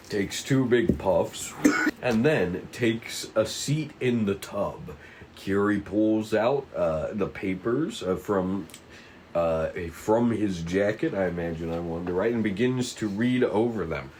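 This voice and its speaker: Man, table read narrator, low pitch, slow halting delivery, stage directions, faint background noise. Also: lively intonation, darkish tone. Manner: halting, often stumbling over the script.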